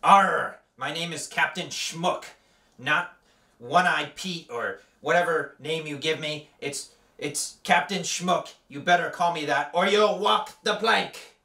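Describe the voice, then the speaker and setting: A man's voice: on the high side, takes it slowly, creaky, bright, forceful tone, distant mic, heavily acted. A man voicing a boastful, threatening male pirate captain who keeps growling "arr", recorded in an echoey room away from the mic.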